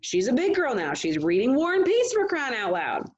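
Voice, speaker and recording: A woman's voice: sits high, wildly swooping pitch, normal speed, muffled recording, pitched above the performer's own voice. Woman playing an exasperated, insistent father. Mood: impatient. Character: impatient, exasperated father, stern but affectionate.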